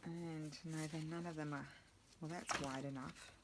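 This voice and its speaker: A low-voiced woman talks her way through a craft project, rambling and cutting herself off as she thinks aloud. Her voice is slightly croaky from the morning. A faint hiss sits under the recording. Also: steady tone, moderate pace. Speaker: craft vlogger. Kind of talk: casual crafting chat.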